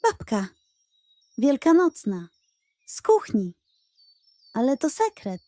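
Woman as a sly, high-voiced storybook tomcat. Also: very animated, takes it slowly, warm tone, no room sound, clean studio sound, full character voice. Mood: secretive. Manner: terse, conspiratorial, muttering. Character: sly, secretive thieving male cat.

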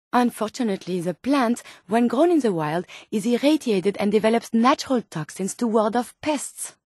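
A woman's voice: on the high side, dark tone, husky, dry recording, clean studio sound. A woman playing an earnest, polite female robot scientist in a video game, with a French accent and formal, precise wording. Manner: formal, precise, carefully worded. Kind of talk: video game character dialogue.

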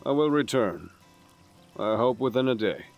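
A man playing a weary, conscientious assassin in an audio drama, speaking gravely and tersely. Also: medium pitch, lively intonation, normal speed, soft background music, lightly acted. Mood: earnest. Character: brooding, conscientious male assassin, weary and sober.

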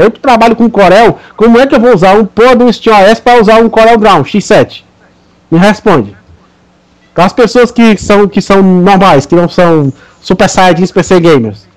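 A man with a Brazilian Portuguese accent and a notably high voice co-hosts a casual gaming podcast. He talks emphatically and lectures his listeners. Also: expressive, moderate pace, rough recording. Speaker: podcast co-host.

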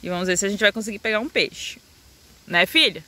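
A woman's voice: mid-range voice, fairly quick, pushed and bright. A woman in her thirties with a southern Brazilian accent, chatting casually as a vlogging mother. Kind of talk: casual family vlog chat. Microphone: phone at arm's length. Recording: noisy outdoor phone video.

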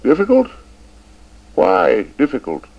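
A man's voice: medium pitch, huge pitch swings, creaky, husky, voice pushed up, heavily acted. A man voicing an elderly male schoolmaster who stammers and halts, stubborn and fond of the old ways. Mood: flustered. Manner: stammering, halting with many uhs. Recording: dull lo-fi recording.

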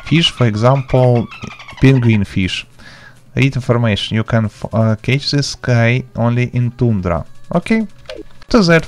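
A man, speaking in halting, simple step-by-step instructions as he hosts an informal video game tutorial. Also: mid-range voice, smooth. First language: not English. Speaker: gaming tutorial host. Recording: music behind the voice. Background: background music.

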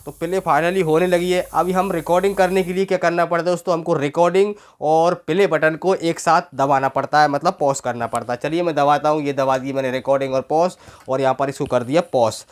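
A man's voice: fairly high pitch, fairly even intonation, a little fast. A man gives a casual, explanatory product demo, addressing viewers as friends and repeating himself as he goes. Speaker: presenter demonstrating audio equipment for sale.

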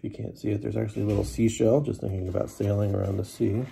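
A man giving a relaxed, casual history lesson to the camera, with many fillers, unhurried. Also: on the low side, creaky, slight background noise. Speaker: teacher.

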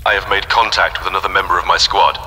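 Stern space warrior-monk sergeant, very low voice, formal, commanding, measured, audio drama. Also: male voice, fairly even intonation, bright, forceful tone, slightly rough, full character voice. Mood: composed, resolute.

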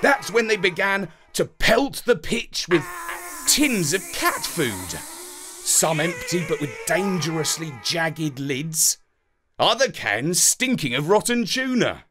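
A man's voice: fairly high pitch, sing-song, slow, over music. A man reading a children's story in a dramatic, playful way, as scripted narration.

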